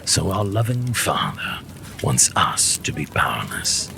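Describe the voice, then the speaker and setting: A man's voice: extremely low pitch, huge pitch swings, measured pace, bright, forceful tone, slight background noise, full character voice. A man playing a proud, defiant, power-hungry tyrant in a fantasy audio drama, with a growling, sneering, imperious delivery. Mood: scornful, bitter. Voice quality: gravelly, growling.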